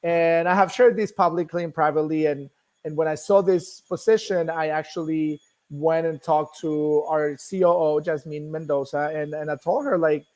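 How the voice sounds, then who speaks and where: Male interview guest, middle-aged, Colombian accent, native Spanish speaker, slightly high register, conversational and talkative. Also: fairly even intonation, moderate pace, darkish tone. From Bogota, Colombia. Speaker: guest, nonprofit executive. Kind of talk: casual interview, telling own story.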